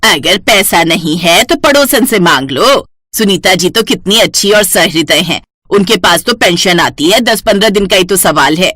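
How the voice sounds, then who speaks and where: A woman's voice: fairly low pitch, animated, normal speed, hardly any pauses, pushed and bright. A woman playing an earnest older mother-in-law in a cartoon moral story, speaking in an admonishing way. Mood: grumbling, demanding. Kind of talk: acted Hindi moral-story cartoon dialogue.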